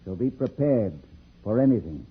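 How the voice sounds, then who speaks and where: Grave, slightly husky judge. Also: male voice, on the low side, big pitch swings, normal speed, phone-quality sound, faint music behind, heavily acted. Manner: earnest, formal. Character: grave, worried male judge of planetary league. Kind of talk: 1950s radio serial acting.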